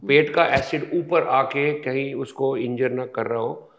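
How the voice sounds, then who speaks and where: A man explains a medical topic in a calm, unhurried interview. He talks very slowly, setting out each point patiently with small pauses. The overall effect is of a doctor walking a lay audience through the facts. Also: medium pitch. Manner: slow, measured, explaining step by step with pauses. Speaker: ENT surgeon guest.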